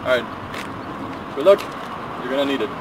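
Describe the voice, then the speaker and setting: A man's voice: mid-range voice, expressive, measured pace, natural voice, rough recording. A man playing a laid-back car mechanic in a game cutscene, talking casually with dry, teasing humor. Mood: wry, unsure.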